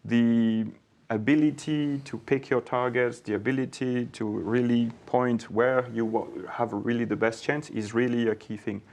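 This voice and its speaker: A man's voice: medium pitch, steady tone, unhurried, darkish tone. A man speaking as a panelist in a business webinar, chatty and conversational, often saying "you know". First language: not Portuguese.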